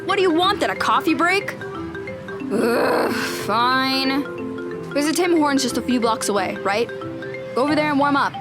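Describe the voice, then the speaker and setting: A woman's voice: high-pitched, normal speed, music in the background. A woman playing a stubborn, self-important teenage girl who investigates the paranormal in an audio drama. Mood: bossy, stubborn. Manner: self-important, expressive, exasperated.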